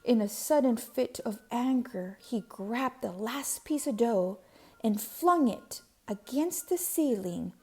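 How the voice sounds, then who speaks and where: A woman reading a children's storybook aloud with expressive, deliberate emphasis on key words. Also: on the high side, breathy edge. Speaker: storybook reader.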